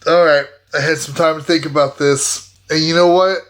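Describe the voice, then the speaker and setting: A man talks casually as a player in a tabletop game. His voice is bright and forceful, pitched a little high. He is jokey and thinks out loud as he decides his moves. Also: fairly even intonation, moderate pace. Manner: jokey, thinking aloud, repeating himself.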